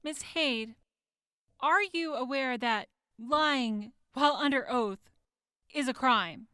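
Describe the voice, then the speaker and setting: An actress voices a skeptical female prosecutor in a wasteland courtroom drama. She drags out her questions with mock politeness. The voice is high and crisp-edged, unhurried, in a dry studio sound. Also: sharp tone, no echo, full character voice. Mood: accusing, disbelieving. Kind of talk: audio drama courtroom acting.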